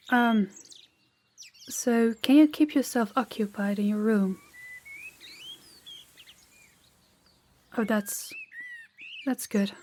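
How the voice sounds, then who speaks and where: A woman playing a proud, guarded young princess in an intimate audio roleplay, speaking in a measured, formal, slightly awkward way to the listener. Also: fairly high pitch, a little mellow, a little hiss or hum. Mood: polite, awkward.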